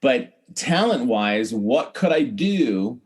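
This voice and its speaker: A man speaking as a podcast guest in a casual, earnest, explanatory way, often halting to correct himself. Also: medium pitch, sing-song, breathy edge. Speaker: podcast guest, celebrity hair stylist. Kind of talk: casual conversational interview.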